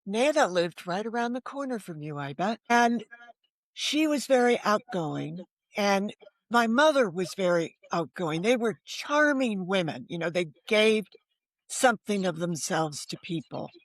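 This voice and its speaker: A woman's voice: medium pitch, lively intonation, measured pace, no echo. Older American woman, candid conversational storyteller. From United States. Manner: candid, self-deprecating, rhetorical asides. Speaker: novelist, interview guest. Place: remote video call.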